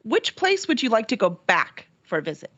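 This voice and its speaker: Upbeat woman interviewer; lively breathy voice on slightly muffled audio. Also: a little high, no echo. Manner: upbeat, quick reactions. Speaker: interview host. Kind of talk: casual interview chat.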